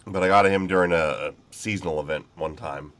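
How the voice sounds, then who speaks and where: A man hosts casual gameplay commentary and rambles through what he is doing in an easygoing way. He is a little sick, so his very deep voice comes out slightly nasal. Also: a little fast, fairly bright tone. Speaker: gaming channel host.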